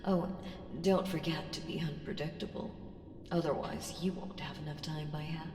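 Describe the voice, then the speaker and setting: A woman voicing an ancient, haughty evil entity in a slow ASMR audio roleplay, deliberate and teasing, slipping into breathy whispers. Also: low voice, fairly even intonation, a little mellow, natural voice. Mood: condescending warning. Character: ancient evil female entity, powerful, haughty, coolly menacing patron. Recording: echoing long hall reverb, ambient hiss.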